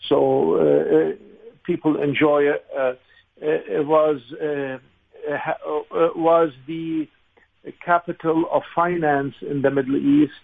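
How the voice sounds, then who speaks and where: A man in his sixties from Lebanon, with a Lebanese accent, speaking haltingly as an interview guest over a narrow phone-like line. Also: on the high side, flat delivery, creaky. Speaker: interview guest, congressional candidate. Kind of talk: conversational interview, recollection.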